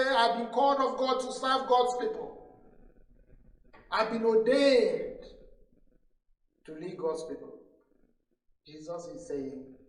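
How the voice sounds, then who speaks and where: A man preaching a sermon as a pastor, solemn and admonishing, repeating phrases for emphasis. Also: high voice. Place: church hall during a service. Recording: echoey room, distant microphone.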